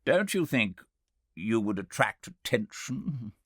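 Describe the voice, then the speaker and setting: A man voicing a wry, sceptical gentleman doctor in a storybook reading, with a dry, teasing manner. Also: fairly low pitch, sing-song, slow, dry recording, pitched above the performer's own voice, heavily acted. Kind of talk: audiobook character reading.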